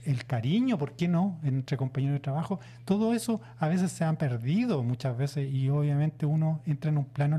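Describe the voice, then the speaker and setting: Guy explaining psych stuff on the radio, super dark warm tone, lots of "eh"s. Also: fairly high pitch. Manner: measured, many "eh" fillers and "¿no es cierto?" tags. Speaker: psychologist guest.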